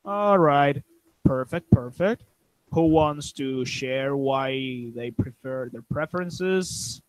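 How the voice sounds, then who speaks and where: A man moderating an online group chat, asking questions in careful, slightly halting English whose first language is Spanish. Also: mid-range voice, dry recording, low-quality recording. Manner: slow, careful non-native phrasing. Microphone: video call.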